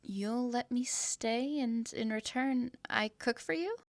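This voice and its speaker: A woman's voice: fairly high pitch, slow pace, no echo, clean studio sound. A woman voicing a shy young winged girl who travels with her cat, speaking in a hesitant, apologetic way. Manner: hesitant, apologetic, soft-spoken. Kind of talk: fantasy audio drama acting.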